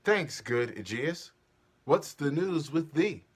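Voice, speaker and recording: A man's voice: a little high, lively intonation, takes it slowly, slightly rough, pitched above the performer's own voice, full character voice. A man playing a grand, commanding duke in a stately, declamatory Shakespearean stage reading. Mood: proud. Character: grand, commanding male duke, measured and solemn.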